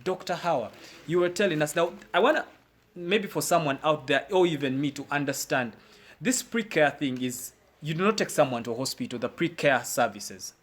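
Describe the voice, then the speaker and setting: A man's voice: fairly high pitch, normal speed, fairly bright tone. A man hosting a talk show in a casual, conversational way, repeating words and rephrasing as he puts questions to a guest. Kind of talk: live interview, conversational questioning.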